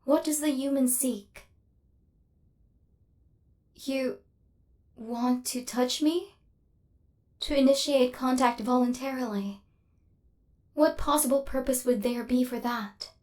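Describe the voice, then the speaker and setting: Casting: woman to play female assassin android, higher register, imperious and icy, formal machine-like diction, secretly flustered, ASMR audio drama. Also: slow, pauses often, a little mellow. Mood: wary, insistent. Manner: formal, clinical, stilted robotic phrasing. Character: female killer robot hunter, cold, haughty, secretly flustered. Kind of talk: ASMR roleplay.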